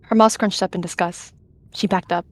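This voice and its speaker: A woman's voice: on the low side, fairly even intonation, moderate pace. A woman narrating an animal roleplay story in the third person with dramatic delivery.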